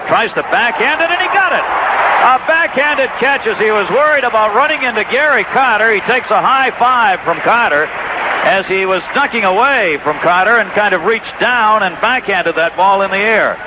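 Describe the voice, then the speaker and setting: A man's voice: high-pitched, animated, normal speed. An American man calling baseball play-by-play live on 1980s radio. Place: stadium broadcast booth. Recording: noisy, dull vintage AM radio broadcast.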